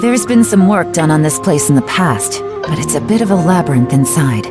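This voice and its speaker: A woman's voice: low-pitched, lively intonation, fairly quick, over loud music. An actress voicing a cool, shrewd woman spymaster in a video game, composed, authoritative and dryly confident. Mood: cool, alert.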